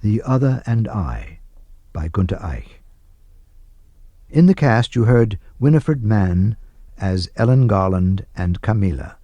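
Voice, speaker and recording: A man narrating from a script for radio in a measured, formal manner. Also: on the low side, lively intonation, mellow, no echo. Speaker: radio announcer and translation reader.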